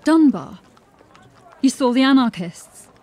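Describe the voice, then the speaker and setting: A woman playing an anxious, loyal city watch constable in a fantasy audio drama, speaking with hushed urgency. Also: sits high, lively intonation, slow pace, heavily acted. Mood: anxious, puzzled.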